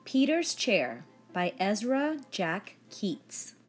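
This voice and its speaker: A woman reads aloud for young children as a storyteller. Her delivery is slow and full of big pitch swings, over soft background music. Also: very animated. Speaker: story reader. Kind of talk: children's read-aloud narration.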